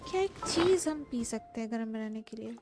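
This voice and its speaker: A woman's voice: a little high, lively intonation, racing. A woman giving casual live commentary as she streams a game. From India. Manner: mixes Hindi and English. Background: game music.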